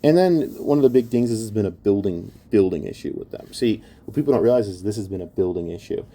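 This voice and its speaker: A man's voice: fairly low pitch, lively intonation, fast, dark tone. A man giving an opinionated commentary rant in a blunt, exasperated and scornful manner, swearing heavily. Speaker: online commentator.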